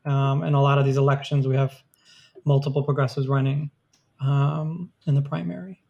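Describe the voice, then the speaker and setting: A man's voice: mid-range voice, little pitch movement, moderate pace, creaky, darkish tone, muffled recording. A man co-hosting a podcast, chatting loosely about politics with many "like"s and "um"s. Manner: loose, filler-heavy talk with frequent "like" and "um". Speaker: podcast co-host. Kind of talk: casual political chat.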